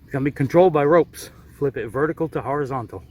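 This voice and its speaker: A man's voice: fairly high pitch, fast, a little mellow. Casual man narrating outdoors over background noise. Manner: chuckles at his own remarks. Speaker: camera operator and helper narrating a vlog. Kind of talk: casual on-the-spot commentary. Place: outdoors at the foot of an antenna tower. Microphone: handheld camera. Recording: outdoor ambient noise on handheld camera.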